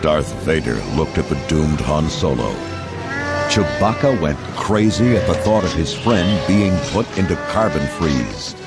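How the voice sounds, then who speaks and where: Very deep-voiced man narrating a storybook. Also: lively intonation, measured pace. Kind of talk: scripted dramatic children's readalong narration. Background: orchestral music.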